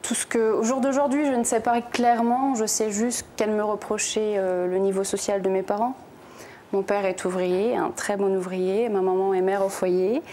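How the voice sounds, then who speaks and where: A woman's voice: mid-range voice, flat delivery, normal speed, dark tone, slight background noise. A young woman, a guest on a television talk show, telling her own story plainly, with frequent hesitations. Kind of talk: recounting personal story on TV. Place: television studio.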